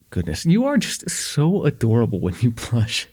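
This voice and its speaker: Man acting a smitten, husky young guy, halting and nervous. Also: mid-range voice, darkish tone, heavily acted. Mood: sincere, wistful. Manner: halting, stammering, nervous, conversational. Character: shy, smitten young man confessing a crush, teasing, tender. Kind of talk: slice-of-life ASMR roleplay.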